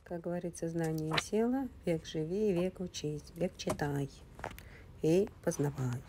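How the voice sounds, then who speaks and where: A woman with a low voice, recovering from a slight illness, narrates a casual unboxing at home in a soft, breathy half-whisper. Her voice has a gravelly, fry-like edge, and a loud background hiss runs under her chatty talk, which is full of fillers. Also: unhurried. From Russian-speaking, living in the US. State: slightly ill, speaking softly. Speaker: vlogger. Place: home, indoors.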